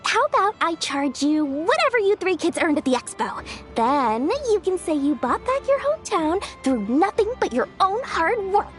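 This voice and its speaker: A greedy, cheerful young merchant girl from a video game, pitching her wares in a bubbly sing-song. Her voice is very high and bounces lively up and down. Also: normal speed, few pauses, soft background music, full character voice. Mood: cheery salesy.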